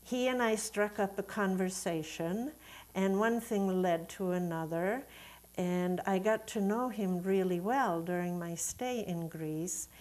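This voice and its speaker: A middle-aged or older woman from Sweden, a native Swedish speaker, telling her story as an interview guest in a measured, deliberate, reflective way. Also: mid-range voice, darkish tone. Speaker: guest, Unitarian Universalist minister.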